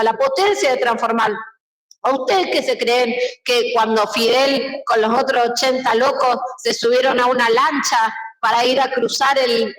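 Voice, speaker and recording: An Argentine woman with a fairly high voice delivers a political speech to an audience. She is impassioned and repeats phrases for emphasis. The sound is echoey and picked up at a distance from the microphone. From Mendoza, Argentina. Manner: rhetorical questions, emphatic repetition. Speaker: book presenter, political speaker. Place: indoor public book presentation. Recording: roomy event hall, distant microphone.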